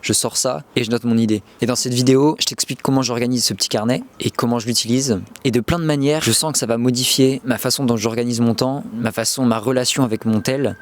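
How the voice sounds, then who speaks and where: A man's voice: medium pitch, fast, hardly any pauses, a little hiss or hum. Casual young French man vlogging. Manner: relaxed, chatty, many fillers and restarts. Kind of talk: casual vlog narration to camera.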